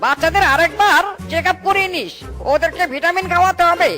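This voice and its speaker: Woman as very high-voiced stern boss. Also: fast, bright, forceful tone, faint music behind. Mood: concerned. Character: stern, caring orphanage benefactor, the boss. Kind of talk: children's cartoon voice acting.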